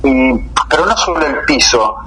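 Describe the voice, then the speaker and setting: Bright-voiced Rioplatense man in his fifties. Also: medium pitch, lively intonation, rough recording. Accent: Rioplatense Argentine Spanish. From Buenos Aires, Argentina. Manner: unhurried, detailed storyteller. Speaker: guest journalist. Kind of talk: radio interview, recounting local cases.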